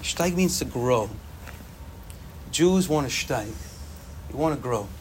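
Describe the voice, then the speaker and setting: A young man whose first language is Yiddish, giving an informal, animated Torah talk to students and repeating phrases for emphasis. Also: medium pitch, takes it slowly, a little hiss or hum. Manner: animated, repetitive, sprinkles Hebrew and Yiddish terms.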